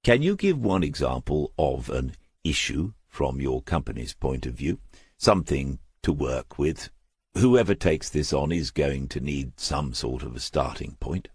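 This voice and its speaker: A man's voice: extremely low pitch, sing-song, a little slow, a little mellow, husky, no echo, full character voice. A man voicing a shrewd retired detective in a crime audiobook, with a dry, understated, probing manner. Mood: measured, probing.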